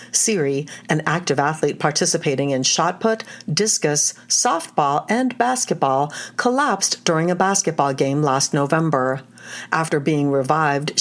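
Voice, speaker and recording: A woman presenting news stories for a podcast in scripted narration. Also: on the low side.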